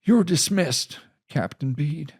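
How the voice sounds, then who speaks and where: Man acting a commanding colonel, raised pitch. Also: animated, a little mellow, husky, full character voice. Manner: measured, formal. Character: calm, commanding male army colonel, courteous and authoritative.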